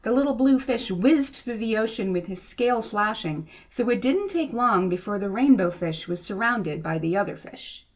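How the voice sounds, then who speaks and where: A woman reading to children as a storyteller, with expressive narration. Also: medium pitch. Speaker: storybook reader.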